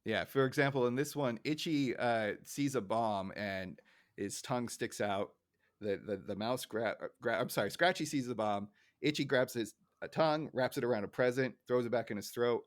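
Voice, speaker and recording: A man with a medium-pitched voice co-hosts a casual podcast. He is chatty and pokes fun at himself as he talks through cartoon recaps. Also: moderate pace. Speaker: podcast co-host.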